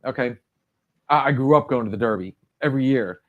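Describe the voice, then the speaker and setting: A man's voice: medium pitch, a little fast, phone-quality sound. A man in his forties co-hosting a podcast, chatting casually and rambling with frequent restarts. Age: 40s. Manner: rambling, with many restarts and fillers. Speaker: podcast co-host. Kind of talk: casual chat.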